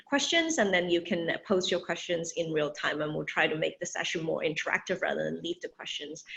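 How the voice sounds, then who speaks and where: A woman moderating an online interview, talking hesitantly with many pauses and restarts. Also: fairly low pitch, vocal fry, a bit bright. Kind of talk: interview moderation on a video call. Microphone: video call. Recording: dull lo-fi video call audio.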